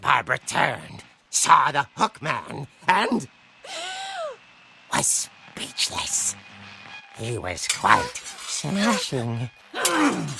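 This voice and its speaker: A man's voice: fairly high pitch, wildly swooping pitch, very slow, creaky, long pauses, background noise. A man narrating a spooky comic-book tale in a theatrical, gleeful horror-host manner. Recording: loud music score behind.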